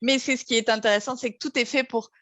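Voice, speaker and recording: A woman's voice: a little high, fairly even intonation, quick pace, a bit bright, dry recording. A woman with a French accent hosting an informational talk, explaining things in a friendly way with many hesitations. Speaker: host.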